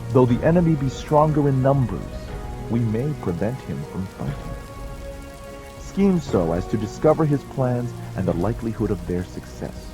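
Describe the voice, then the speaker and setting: A man reading a dramatized audiobook as narrator, in a solemn, measured and declamatory manner. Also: medium pitch, big pitch swings, music in the background, rough recording. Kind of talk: dramatized scripted narration of an ancient treatise.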